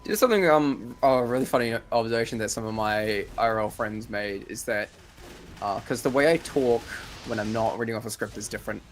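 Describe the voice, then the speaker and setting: A man's voice: medium pitch, brisk, a little hiss or hum. A man with a New Zealand accent, chatting casually on a live gaming stream, rambling and restarting his sentences. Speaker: streamer and video creator.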